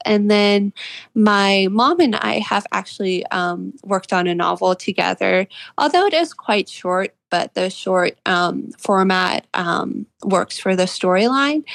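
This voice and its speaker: A woman's voice: mid-range voice, gravelly, no room sound. A twenty-year-old woman answering interview questions about her work as an author in an earnest, reflective way. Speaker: interview guest, fiction author.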